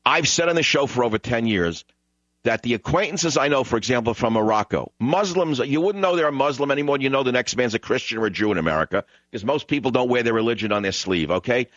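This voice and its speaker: A man's voice: mid-range voice, lively intonation, fast, a bit bright, dry recording. A man hosting a call-in radio talk show, emphatic and combative, repeating lines for effect. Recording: dull radio broadcast sound.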